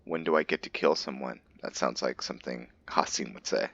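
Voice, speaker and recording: A man's voice: very deep voice, brisk, vocal fry, fairly bright tone, husky, dry recording, lo-fi sound. A man narrating his roleplay playthrough of a video game, casually thinking aloud and correcting himself as he goes. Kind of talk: casual let's-play commentary.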